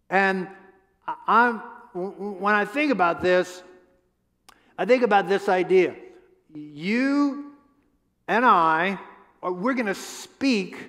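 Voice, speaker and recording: A man in his fifties preaching a conversational sermon on a church stage through a headset mic, asking the crowd questions and often restarting his words. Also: high voice. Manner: animated, gestures a lot while pacing the stage. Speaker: pastor. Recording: long hall reverb.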